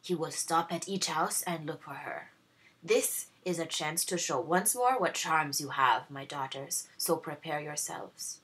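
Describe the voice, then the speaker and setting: A woman's voice: low voice, lively intonation, measured pace, fairly bright tone, rough recording. A woman voicing a haughty, scheming older mother who is commanding and fussy in a storybook. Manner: commanding, fussy, gushing to guests.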